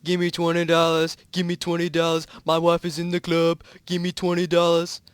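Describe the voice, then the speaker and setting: A man's voice: a little high, completely flat delivery, a little fast, clean tone, natural voice. A man voicing an eerie disembodied figure that begs in a repetitive chant, in an amateur story reading. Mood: insistent chanting.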